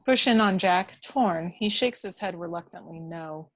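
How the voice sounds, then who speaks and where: A woman's voice: lowish voice, a little slow. A woman reading stage directions as scripted narration at a table read of a film script.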